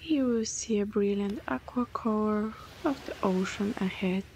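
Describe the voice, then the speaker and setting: Slow, breathy woman guiding meditation. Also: mid-range voice, darkish tone. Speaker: meditation and yoga guide. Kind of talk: guided meditation narration. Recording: clear background noise, ambient sound.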